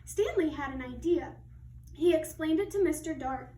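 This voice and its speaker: A woman with a high voice reads a story to young children in an expressive, teacherly way. She sounds a little far from the mic in an echoey room. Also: moderate pace. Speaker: second grade teacher. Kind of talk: read-aloud of a children's story with asides to pupils. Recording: roomy echo, distant mic, faint noise.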